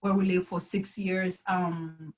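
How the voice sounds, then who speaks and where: A woman's voice: on the low side, level tone, slow. A woman in her early twenties from the Democratic Republic of the Congo, a native French speaker, giving a personal account as a panelist in a hesitant, self-correcting way. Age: early 20s. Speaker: panelist, university student.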